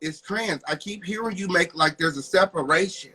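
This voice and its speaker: Man, high voice, bright and sharp, sassy host, emphatic, challenging, casual debate. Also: normal speed, slightly rough. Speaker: host of a discussion show. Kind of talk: heated casual chat.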